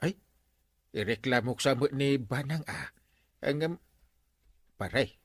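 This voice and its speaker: A man's voice: mid-range voice, takes it slowly, dry recording, studio quality. A man with an Ilocano accent playing a grumbling, exasperated village captain in a comedy drama. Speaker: lead actor in a comedy drama. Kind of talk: Filipino radio-style comedy drama acting.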